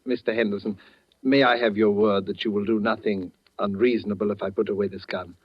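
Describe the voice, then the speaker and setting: An actor playing a sinister, money-hungry gentleman with over-polite, insinuating manners, in 1940s radio suspense style. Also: on the low side, steady tone, brisk, slight background noise, close to an everyday voice. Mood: smooth, confiding. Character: sinister, courteous, money-hungry man with weak eyes, holding a gun. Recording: dull vintage 1940s radio recording.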